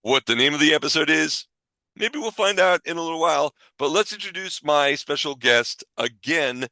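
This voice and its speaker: A man hosting a podcast in a casual, bantering way, with a jokey manner and some drawn-out words. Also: a little high, lively intonation, bright, forceful tone, no echo. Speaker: podcast host.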